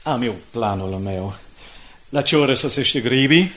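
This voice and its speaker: A man's voice: medium pitch, expressive, a bit bright, natural voice. An actor playing a brash, confident schemer of a newspaperman in a radio drama, with an emphatic, persuasive delivery. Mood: cocky, rallying. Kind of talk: 1980s Romanian radio drama acting.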